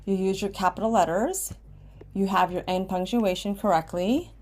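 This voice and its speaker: An American woman with a medium-pitched voice talks as a writing teacher in a screen tutorial. She gives simple, step-by-step instructions and often checks in with a short okay. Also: moderate pace, a little mellow, soft background music. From Washington State, United States. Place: indoors at a computer, screen recording with webcam.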